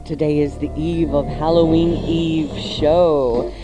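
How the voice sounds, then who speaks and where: A woman's voice: low-pitched, fairly even intonation, slow, over music. An American woman co-hosting a casual live radio show, chatty and playfully teasing as she talks to listeners.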